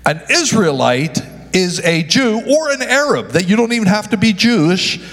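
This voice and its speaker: A man's voice: high voice, very animated, moderate pace, a bit bright. A male pastor preaching a sermon, calling out to the congregation and often stumbling and correcting himself. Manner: preaching with call-and-response to the congregation. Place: church sanctuary. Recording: long echo of a large hall.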